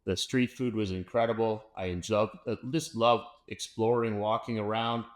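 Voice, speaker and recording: Male host, somewhat low voice, eager and chatty, lots of restarts. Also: fairly even intonation, normal speed. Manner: upbeat, enthusiastic, many fillers and restarts. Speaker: podcast host. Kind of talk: casual interview chat.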